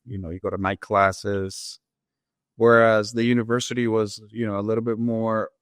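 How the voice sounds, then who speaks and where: A man's voice: fairly low pitch, flat delivery, moderate pace, smooth, dry recording, studio quality. Earnest man. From Latin America. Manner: hesitant, many fillers, earnest. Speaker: guest, engineer. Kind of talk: interview answers.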